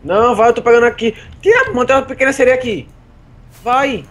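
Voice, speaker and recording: A man's voice: extremely high pitch, animated, fast talker. A young man playing along with friends, urgently calling out to his teammates. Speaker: co-player. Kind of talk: gaming banter.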